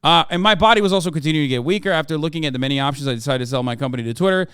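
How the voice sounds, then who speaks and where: A man's voice: a little high, rapid-fire, a bit bright, clean tone, no echo, clean studio sound, natural voice. A man voicing a frank, wry Icelandic entrepreneur with a put-on Icelandic accent, reading a written statement aloud.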